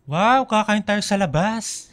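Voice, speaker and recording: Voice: mid-range voice, animated, takes it slowly, dry recording. A performer with a Filipino accent playing a playful, cocky schoolboy who teases and whines in a radio drama. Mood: eager. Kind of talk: radio drama acting, casual banter. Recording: dry voice with music bed.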